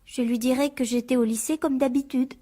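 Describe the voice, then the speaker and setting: An actress playing a frightened, pleading teenage daughter in a French radio drama, anxious and insistent. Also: female voice, sits high, fairly even intonation, fairly quick, mellow.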